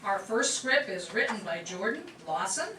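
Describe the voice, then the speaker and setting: A woman master of ceremonies reading a scripted introduction in a clear, read-aloud way to a live audience. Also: mid-range voice, expressive, normal speed, pushed and bright. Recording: echoey room from far off with noise.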